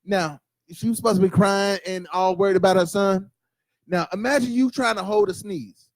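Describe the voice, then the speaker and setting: A man's voice: sits high, moderate pace, dry recording. A man co-hosting a podcast in casual chat, blunt and profane, laughing often.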